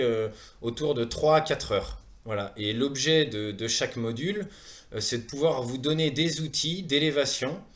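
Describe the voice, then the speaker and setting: A man in his thirties with an accent from France gives a semi-prepared presentation in an earnest, hesitant way, often pausing and repeating words. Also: medium pitch, fairly bright tone. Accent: French from France. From France, Saumur in Maine-et-Loire. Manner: earnest, hesitant, with frequent pauses and word repetitions. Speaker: presenter of a wellness training programme.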